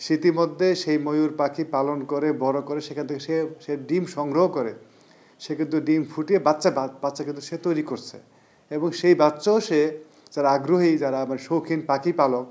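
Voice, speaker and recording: A man's voice: a little high, steady tone, quick pace, darkish tone. A man with a Bangladeshi accent giving an emphatic interview statement as an official. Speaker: livestock department official.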